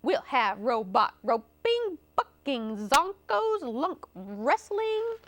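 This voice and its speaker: A woman voicing a boastful, commanding alien space cowboy from a children's storybook, announcing loudly and theatrically. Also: sits high, very animated, slow pace, full character voice. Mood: showy, boastful.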